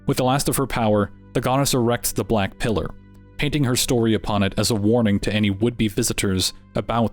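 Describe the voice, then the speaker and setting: A man narrating from a script, measured and explanatory. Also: on the low side. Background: music.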